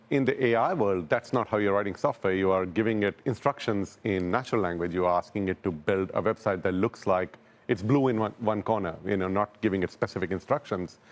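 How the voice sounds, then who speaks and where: A man speaking as a technology company executive in a live business news interview, explaining things calmly. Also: fairly low pitch.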